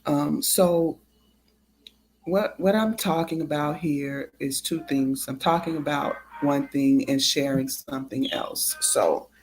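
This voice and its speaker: A livestream host talking casually and haltingly, with drawn-out pauses between phrases. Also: mid-range voice, faint music behind, rough recording.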